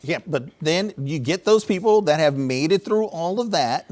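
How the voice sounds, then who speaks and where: A man's voice: on the high side, animated, moderate pace, a little mellow. An American man anchoring a TV newscast, reading stories and putting questions to a reporter. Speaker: news anchor. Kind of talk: TV news presenting.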